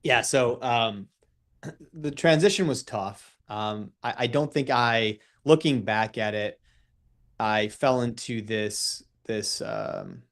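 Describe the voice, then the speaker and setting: A man's voice: mid-range voice, slow pace, a bit bright. A man in his thirties guesting on a radio show as a coach, speaking with an emphatic coaching cadence and a casual, easygoing manner. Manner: laughs easily, casual. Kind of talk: radio interview.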